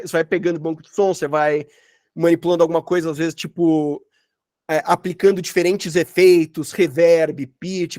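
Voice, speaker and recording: A man's voice: high voice, darkish tone, slight background noise. A Brazilian man teaching an informal online sound design workshop, explaining examples in a casual, rambling, slangy way. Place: at home, online session.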